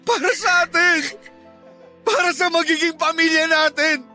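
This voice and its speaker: A wounded, jealous man in a radio melodrama. His voice is very high and piercingly sharp, full of anguish and accusation, over background music. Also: a little slow, full character voice. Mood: hurt, tearful. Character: Diego, betrayed heartbroken man raging at his lover. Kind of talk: melodramatic radio drama acting.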